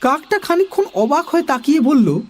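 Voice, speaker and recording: Casting: male actor as wide-eyed young narrator for a children's story, high-set voice, bouncy intonation. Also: very animated, a little fast, soft background music. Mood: animated.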